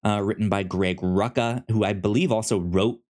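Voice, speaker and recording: A man co-hosting a podcast, chatting casually about films in a halting way, often stammering and repeating syllables. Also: on the low side, steady tone, a little mellow. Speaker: podcast co-host. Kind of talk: casual film review chat.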